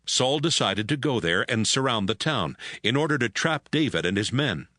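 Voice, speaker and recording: A man's voice: medium pitch, lively intonation, moderate pace, pushed and bright, dry recording, studio quality. A man giving scripted narration of a Bible story as the narrator.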